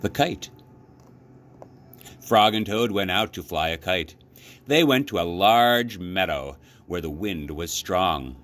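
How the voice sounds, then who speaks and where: A man reading a children's storybook aloud as a librarian, with expressive, measured narration. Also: low voice. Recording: clearly noisy background.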